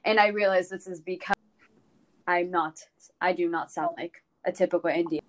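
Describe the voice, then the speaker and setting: A woman's voice: on the low side, steady tone, moderate pace, low-quality recording. A young woman from the United States with an American accent, sharing personal anecdotes informally and explaining as she goes in a group discussion.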